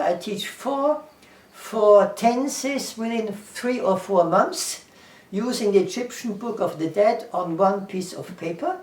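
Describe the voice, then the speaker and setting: A man's voice: high voice, darkish tone. A man with a German accent, speaking as an English teacher in a casual talk to camera, earnest, repeating himself and listing ideas one by one. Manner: earnest, repeats himself, lists things, quotes scripture. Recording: echoey room, far from the mic.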